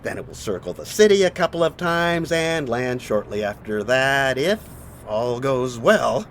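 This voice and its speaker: Guy playing secretive nervy insider, emphatic and conspiratorial, very animated, radio drama. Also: mid-range voice, unhurried, slight background noise. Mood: hushed, urgent. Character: anxious secretive male whistleblower, urgent and insistent.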